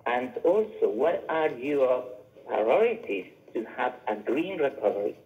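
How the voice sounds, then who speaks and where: A man from Chile speaking as an audience member, putting an earnest, halting question to a televised debate. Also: medium pitch, very animated. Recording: muffled phone-like line.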